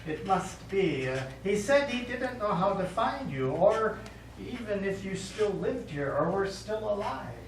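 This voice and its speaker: In a roomy, slightly noisy stage recording, a gentle parish priest played by a male actor speaks from a distance. He is measured and formal, offering sympathy as a consoling clergyman in a live play. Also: high-pitched, sing-song. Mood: careful, apologetic. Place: small indoor theatre studio, recorded from audience distance.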